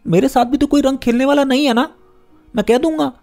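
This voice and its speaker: A man's voice: extremely high pitch, brisk, vocal fry, a little mellow, soft background music, voice pushed up. A man voicing a confident, friendly young boy from a rich home in a story, insistent and inviting.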